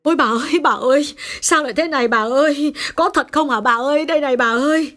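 A woman voicing a chatty, deferential and excitable household servant in an audio story. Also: mid-range voice, fairly quick. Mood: alarmed. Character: gossipy, excitable female household cook and servant. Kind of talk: audio story character dialogue.

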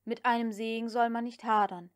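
A woman's voice: fairly high pitch, flat delivery, brisk, clear, resonant tone, natural voice. An anxious male house servant, acted by a woman narrator, speaks with deference and injured pride. He answers tactfully and plaintively, as a character in a novel read aloud. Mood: deferential. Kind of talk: audiobook character dialogue.

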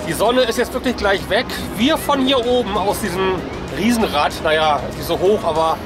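High-pitched man vlogging over loud music. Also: normal speed. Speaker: family vlogger. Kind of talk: casual vlog chat to camera. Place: outdoors in a busy amusement park. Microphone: handheld camera at arm's length. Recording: outdoor vlog, loud music and crowd noise. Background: loud music and crowd.

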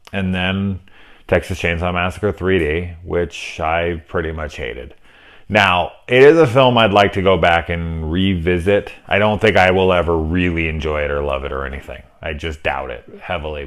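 A man in his thirties with a low voice reviews a horror film straight to the camera from a small room lined with movie shelves. He talks loosely and candidly, swearing freely and restarting his sentences. The delivery is unscripted and conversational. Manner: candid and profane, with frequent fillers and restarts. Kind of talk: casual spoken film review.

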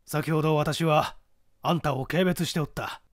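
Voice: mid-range voice, fairly even intonation, normal speed, dry recording, studio quality, voice pushed down, close to an everyday voice. A narrator voicing a humbled male police detective with stiff, old-fashioned, formal politeness. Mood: contrite, solemn.

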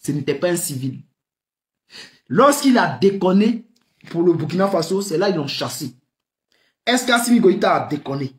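Animated West African French man commentating. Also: sits high. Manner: animated, rhetorical questions, frequent laughs, gestures with hands. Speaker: political commentator. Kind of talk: impassioned political commentary to camera. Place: small room indoors. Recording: echoey room.

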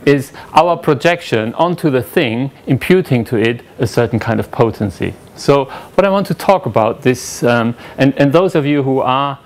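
A man's voice: medium pitch, expressive, normal speed, hardly any pauses, a little hiss or hum. A man with a German accent giving an academic lecture at a lectern in a lecture room, talking conversationally and questioning the audience. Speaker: conference speaker.

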